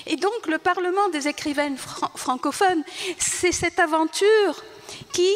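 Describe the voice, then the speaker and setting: An older woman born in Tunisia whose first language is Arabic, speaking at a panel talk and reflecting in a halting way, often searching for words and restarting. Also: very high voice. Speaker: writer on a panel. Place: large hall. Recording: reverberant hall.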